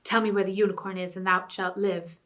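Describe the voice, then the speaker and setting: A woman's voice: medium pitch, level tone, a little fast, fairly bright tone, close to an everyday voice. A woman reading a stern, courtly young king. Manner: stern, courtly, old-fashioned speech.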